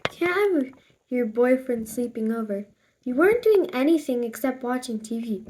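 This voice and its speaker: A woman voicing an easygoing, watchful father in a storybook, matter-of-fact and gently teasing. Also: on the high side, lively intonation, normal speed, slight background noise, natural voice.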